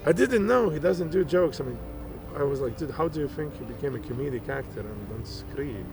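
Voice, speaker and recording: A man, speaking English as an in a relaxed interview. His pitch sits mid-range, and he tells animated stories that bring quoted voices to life. Clear music plays behind him while he talks outdoors. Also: fairly quick. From Estonia. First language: Estonian. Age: early 40s. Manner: animated storyteller, acts out quoted dialogue. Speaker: stand-up comedian guest. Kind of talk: casual interview. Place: park outdoors.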